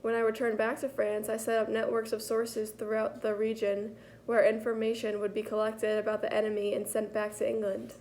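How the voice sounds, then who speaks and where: A woman playing a poised, daring female French spy chief in a school role-play interview, recounting calmly and matter-of-factly. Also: on the high side, fairly quick, close to an everyday voice. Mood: composed.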